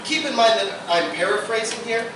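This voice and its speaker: A woman's voice: on the high side, animated, normal speed, far from the mic, natural voice. A young woman playing a brainy, sardonic college student with dry delivery in a live radio play. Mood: lecturing. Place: live performance room, distant mic. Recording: echoey, noisy live theatre recording from afar.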